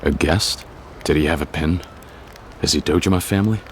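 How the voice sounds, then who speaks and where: A man acting a stoic, gruff young yakuza on the run in an English video game dub, speaking in terse, blunt, guarded lines. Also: moderate pace, a little hiss or hum. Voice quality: very deep, low voice.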